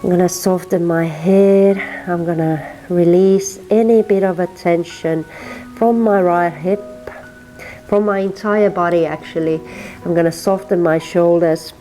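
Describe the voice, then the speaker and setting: Calm, slow Finnish woman guiding yoga. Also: fairly low pitch, soft background music, low-quality recording. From Finland. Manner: slow, soothing cueing of breath and movement. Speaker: yoga teacher. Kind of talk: guided yin yoga instruction.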